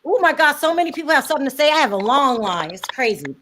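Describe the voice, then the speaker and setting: A woman's voice: sits high, big pitch swings, quick pace, background noise. A woman hosting a call-in video chat, reacting to callers and steering the talk. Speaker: host.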